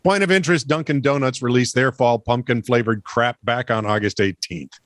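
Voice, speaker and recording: A man's voice: medium pitch, brisk, fairly bright tone, no echo, clean studio sound. A man co-hosting a comedy news podcast, playful and mock-dramatic as he reads the news. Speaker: co-host.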